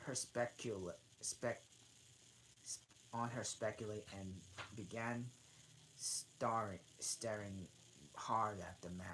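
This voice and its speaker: Low-voiced woman, slow halting storybook reader. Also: steady tone, fairly bright tone, poor audio. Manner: halting, stumbling and re-reading words. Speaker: channel host, reader. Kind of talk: amateur storybook read-aloud. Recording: noticeable background noise.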